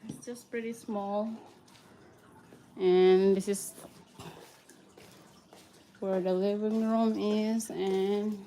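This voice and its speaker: Slow-talking Filipino-accented woman. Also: mid-range voice, little pitch movement, mellow, a little hiss or hum. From Philippines. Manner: slow, chatty, greets viewers by name. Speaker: vlogger, mother. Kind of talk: casual live-stream house tour.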